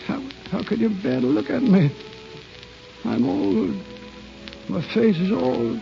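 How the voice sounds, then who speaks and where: Old man, remorseful, halting, slow-paced, emotional, muffled vintage radio drama recording. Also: very high voice, lively intonation, vocal fry, faint music behind, heavily acted. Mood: yearning, awed. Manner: halting, emotional, repeating words. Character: frail, remorseful, lovelorn old uncle. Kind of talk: 1940s radio drama acting.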